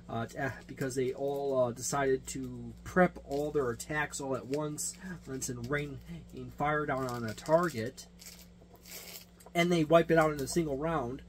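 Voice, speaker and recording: A man giving a casual, unscripted hobby review and explanation, rambling and correcting himself with emphatic delivery. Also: fairly high pitch. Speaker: tabletop gaming reviewer and game master. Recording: noticeable background noise with hum.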